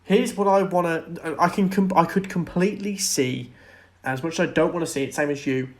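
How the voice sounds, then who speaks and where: A man's voice: fairly high pitch, fairly even intonation, brisk. A man co-hosting a podcast in a casual, conversational discussion of pop culture. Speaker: podcast co-host.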